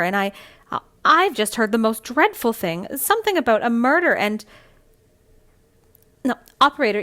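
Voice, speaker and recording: A woman's voice: a little high, a bit bright. A woman acting a frantic, demanding caller on the telephone in an old-style radio thriller, stammering and indignant. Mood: horrified, frantic. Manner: breathless stammering, indignant, exasperated sighs.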